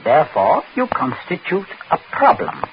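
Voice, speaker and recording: A dull, slightly noisy 1940s radio recording carries a coldly calculating, domineering man. He speaks with measured menace at a middle pitch and gives orders with wide, dramatic swings. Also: wildly swooping pitch. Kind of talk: 1940s radio thriller acting.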